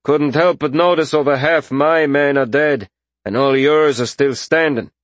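A suspicious mercenary captain acted out by a man reading challenges people in blunt, folksy speech. His pitch is pushed up, and the fantasy audiobook sound is dry and close. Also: unhurried, dry recording, close to an everyday voice. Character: gruff, suspicious, bearded male mercenary leader. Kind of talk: audiobook fantasy character acting.

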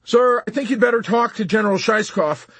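A man's voice: high-pitched, animated, a little fast, fairly bright tone, slightly rough, no room sound, voice pushed up, heavily acted. A man voicing a nervous, deferential army sergeant urgently reporting to his superiors in an audiobook. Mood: nervous pleading. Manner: pleading, deferential.

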